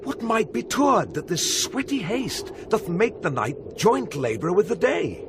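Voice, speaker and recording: A man's voice: high voice, sing-song, moderate pace. A British man acting an earnest, anxious soldier on night watch in Shakespearean radio drama, with intense theatrical delivery. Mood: grave, questioning. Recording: noisy 1990s radio drama with ambience.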